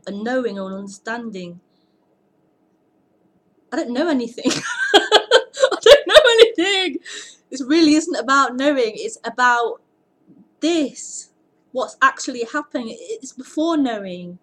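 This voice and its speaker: High-voiced woman pointing, halting speech. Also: lively intonation. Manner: earnest, halting, repeats words, laughs often. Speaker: non-duality teacher hosting a live sitting. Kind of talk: live-streamed spiritual talk and Q&A.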